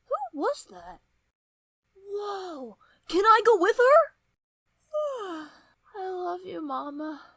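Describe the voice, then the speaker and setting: A woman voicing a sweet, innocent young child with an eager, questioning manner, in an animated drama. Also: very high voice, very slow, long pauses, no echo, soft background music, full character voice.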